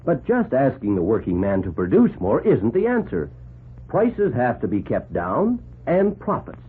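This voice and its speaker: Husky, blunt 1940s working man. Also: medium pitch, wildly swooping pitch, normal speed, exaggerated voice. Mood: earnest grumbling. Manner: blunt, earnest complaining. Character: disgruntled but fair-minded working man, husband. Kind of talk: 1940s radio drama acting. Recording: muffled, narrow old 1940s radio recording.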